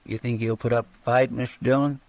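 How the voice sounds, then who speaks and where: An actor playing a simple, anxious deputy sidekick with a folksy drawl in an old-time radio western. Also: male voice, mid-range voice, flat delivery, brisk, darkish tone, no echo, lightly acted. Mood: uneasy, folksy.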